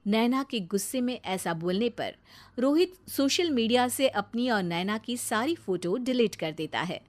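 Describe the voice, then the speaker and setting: A woman narrating a scripted animated family story as the storyteller. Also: on the high side, measured pace.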